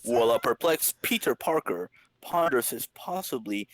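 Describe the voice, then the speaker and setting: A man's voice: medium pitch, lively intonation, normal speed. A man hosting a comedy podcast, switching between playful narration and casual banter. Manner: jokey, playful.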